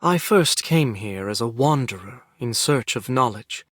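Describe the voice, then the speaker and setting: An actor playing a calm scholarly wanderer in a video game, speaking in a measured, formal, quietly hopeful way. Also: male voice, medium pitch. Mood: solemn hope. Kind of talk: game character voice acting.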